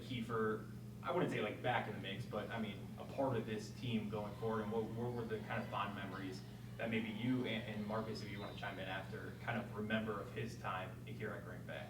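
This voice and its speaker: Male reporter, lowish pitch, hesitant winding questions, far from mic, press room noise. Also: steady tone, brisk. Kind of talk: informal press conference questioning. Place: press conference room, away from microphone. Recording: distant, echoey, noisy room pickup.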